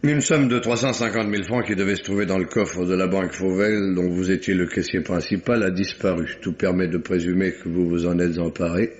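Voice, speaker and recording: A male actor as a shrewd, renowned male police detective of the nineteenth century. He questions in a probing, assertive way with formal courtesy, at an ordinary male pitch. The sound is that of a dull vintage radio drama. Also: fairly even intonation, fairly quick, hardly any pauses, close to an everyday voice. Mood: probing, firm. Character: shrewd, celebrated 19th-century police detective interrogating suspects. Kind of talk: classic French radio drama acting. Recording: dull, lo-fi old radio recording.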